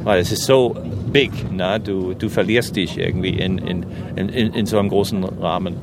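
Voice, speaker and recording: Low-voiced American man chatting in a car. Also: moderate pace. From New Jersey, USA. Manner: relaxed, hesitant talk with English words mixed in. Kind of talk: casual chat. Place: moving car. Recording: car with road noise. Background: road and traffic noise.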